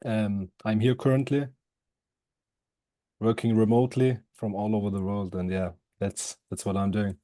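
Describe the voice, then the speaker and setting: A man in his twenties from Switzerland, answering interview questions casually in halting English with many fillers and restarts. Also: on the low side, flat delivery, dark tone, no room sound, clean studio sound. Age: 20s. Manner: halting, loose phrasing with frequent fillers and restarts. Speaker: guest, former student.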